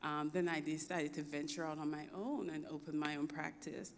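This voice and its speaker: Jamaican woman, lively and low-voiced. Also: moderate pace, long reverb tail, slight background noise. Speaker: guest physician. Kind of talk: interview answers.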